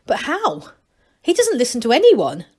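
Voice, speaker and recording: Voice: medium pitch, huge pitch swings, normal speed, a little mellow, husky. Woman reading as a level-headed male gnome. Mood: curious.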